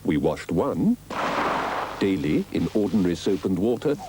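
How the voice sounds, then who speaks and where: A man's voice: low-pitched, big pitch swings, normal speed. A man doing a droll, mock-earnest scripted advert voice-over on a noisy old TV recording.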